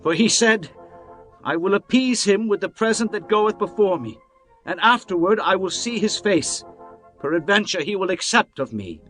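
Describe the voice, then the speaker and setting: Guy voicing a high-pitched anxious old patriarch, begging humbly, swoopy voice. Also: lively intonation, moderate pace, a bit bright, faint music behind, low-quality recording. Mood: urgent. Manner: earnest, pleading, humble. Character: anxious pleading patriarch Jacob, older man.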